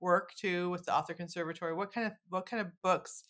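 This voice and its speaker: A woman's voice: fairly low pitch, fairly even intonation, fast, a bit bright. A woman podcast host chatting casually with a guest, informal and effusive in how she talks. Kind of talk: casual interview chat.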